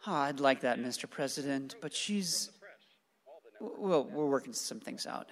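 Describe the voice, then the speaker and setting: An actor playing a confused, grieving grown son, hesitant and plaintive, in an emotional radio drama. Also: male voice, mid-range voice, lively intonation, measured pace. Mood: weary, exasperated. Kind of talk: emotional stage-style radio drama acting. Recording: reverberant hall-like room.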